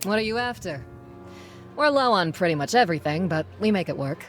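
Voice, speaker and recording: Actress doing a young rebel gal from a video game, lowish voice, plain-talking, folksy, fired up for the cause, bit of music under it. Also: female voice, animated, fairly quick, natural voice. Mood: brisk, upbeat. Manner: folksy, plain-spoken, earnest. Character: spirited young rebel schoolteacher turned frontline quartermaster. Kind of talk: video game character dialogue.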